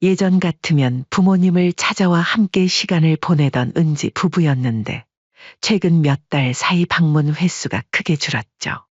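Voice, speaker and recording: Husky, calm storytelling narrator. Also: medium pitch, moderate pace, no room sound, dull audio.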